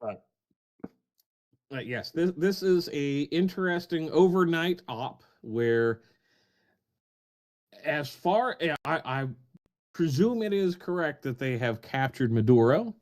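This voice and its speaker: Podcast regular, male, fairly high voice, dry jokey delivery, casual chat. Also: expressive, slow pace, long pauses, darkish tone. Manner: wry, joking, reads foreign text aloud with flair.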